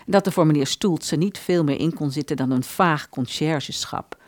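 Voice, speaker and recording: A woman narrating a literary audio drama from a script in an expressive storytelling manner. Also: sits low, moderate pace, dry recording, studio quality.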